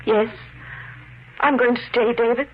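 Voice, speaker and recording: An actress playing an anxious, devoted newlywed wife, polite but resolute, in 1950s radio mystery style. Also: female voice, on the high side, steady tone, unhurried, phone-quality sound, natural voice. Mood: resolute, fearful. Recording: noisy, band-limited old radio recording.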